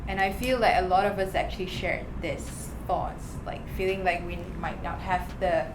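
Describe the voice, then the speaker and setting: A woman's voice: lowish voice, steady tone, normal speed, reverberant, noisy background. A woman co-hosting a casual chat, asking curious follow-up questions. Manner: inquisitive, asks follow-up questions.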